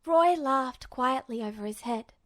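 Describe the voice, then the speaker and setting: A woman with a high voice narrates fiction from a script. The recording is very dry, with the sound of a story read aloud for listeners. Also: unhurried, fairly bright tone, dry recording. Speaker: podfic narrator.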